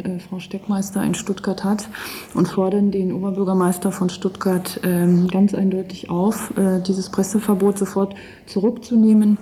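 A woman with a dark, mellow voice delivers a formal statement. She is firm and emphatic, speaking in long sentences at a middling pitch. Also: slight background noise. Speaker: women's affairs officer. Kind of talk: spoken public statement.

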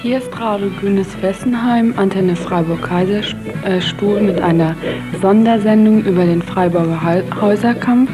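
A woman's voice: medium pitch, moderate pace. A woman announcing a special broadcast on a pirate radio station, speaking directly to listeners. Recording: music playing underneath.

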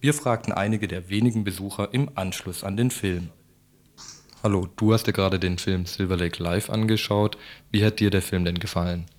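A man with a lowish voice presents morning radio news. He reads scripted reports in the manner of a news presenter and reporter. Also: steady tone, normal speed.

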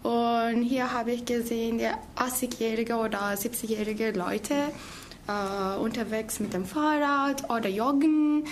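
A woman's voice: a little high, fairly even intonation, measured pace, slight background noise. Chatty young woman from Turkey. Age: 20s. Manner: chatty, laughing storyteller with hesitant fillers. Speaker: language student. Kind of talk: casual interview answers.

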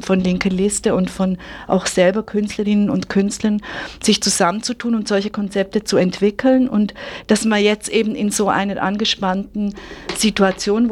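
A woman's voice: medium pitch, a little mellow. Woman interviewer with informal, rambling delivery. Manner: informal, rambling, circling questions. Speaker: radio magazine interviewer. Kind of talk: casual unscripted political discussion.

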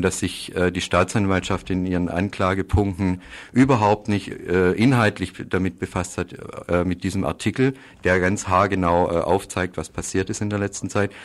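A man explaining political news in a radio interview, halting often and repeating words as he goes. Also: low voice. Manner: halting, hesitant delivery with frequent pauses and repeated words. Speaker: interviewee reporting on Uruguay.

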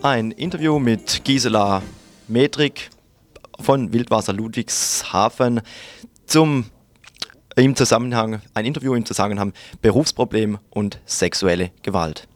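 A man hosts a morning radio show with informal live announcements. His voice is on the low side, and guitar music plays behind him. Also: unhurried, no echo.

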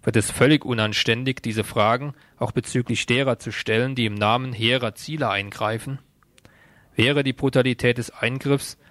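A man's voice: mid-range voice, fairly bright tone, no echo. A man presenting a radio news magazine, reading prepared text and informal announcements.

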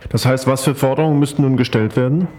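A man interviews on political radio at a brisk clip. His mid-pitched voice stays flat as he puts his questions and comments to a guest.

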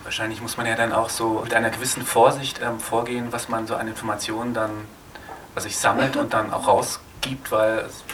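Bright-voiced man moderating, off-mic. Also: mid-range voice, little pitch movement, a little fast. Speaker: moderator of film Q&A. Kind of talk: spontaneous interview questions. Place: film festival screening venue. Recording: distant, noisy live event recording.

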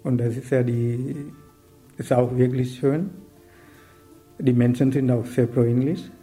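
A man's voice: medium pitch, fairly even intonation, slow, mellow, soft background music, low-quality recording. A middle-aged man from Sri Lanka with a Sri Lankan accent, a writer and activist speaking hesitantly with many fillers in a radio interview. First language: Sinhala.

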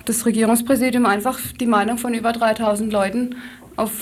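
A woman with a fairly high voice speaks as an activist in a radio interview. She explains step by step, searching for words and rephrasing as she goes. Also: fairly even intonation. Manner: explanatory, halting with self-corrections. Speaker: activist interviewee from a citizens' campaign.

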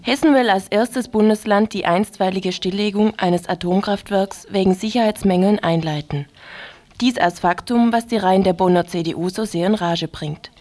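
Mid-pitched female radio newsreader. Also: moderate pace, a little mellow. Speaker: radio news presenter. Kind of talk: scripted news reading.

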